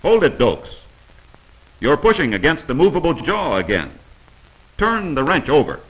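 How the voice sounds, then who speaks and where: American guy doing 1940s training film narration, bright forceful voice, lively pitch, teachy and scolding, old film sound. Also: moderate pace, low-quality recording. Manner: didactic, admonishing.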